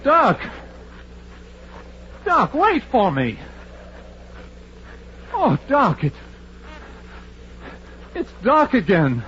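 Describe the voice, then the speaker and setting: A man's voice: extremely high pitch, huge pitch swings, drawn-out words, pauses often, fairly bright tone, heavily acted. An actor playing a timid, superstitious rural man, nervous, hesitant and folksy, in an old-time radio horror drama. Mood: frightened. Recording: muffled, noisy vintage radio recording.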